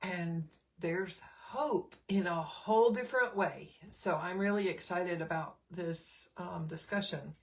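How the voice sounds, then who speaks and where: A woman's voice: on the low side, a little slow, hardly any pauses. A middle-aged woman, a nurse, hosting a casual live stream with a slightly scratchy throat, chatting with many fillers and greeting viewers by name.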